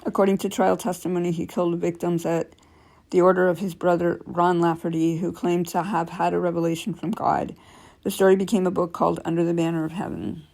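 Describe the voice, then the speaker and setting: A woman's voice: lowish voice, level tone, fairly quick, gravelly, a little mellow. A woman with a scratchy voice reading creepy true stories aloud, stumbling now and then and correcting herself, with casual remarks between stories.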